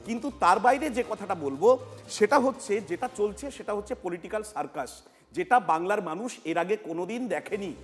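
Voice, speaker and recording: A high-pitched male analyst with a West Bengal Bengali accent. He explains emphatically, repeating key phrases, in a long-reverb recording with music underneath. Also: expressive, a little fast. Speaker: panel analyst. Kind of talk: political analysis on a TV panel.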